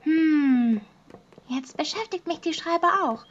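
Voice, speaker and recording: A woman's voice: high voice, slow pace, darkish tone, lo-fi sound, a little hiss or hum. A woman playing a playful, childlike teenage girl in an anime audio drama, with a naive, curious, singsong manner.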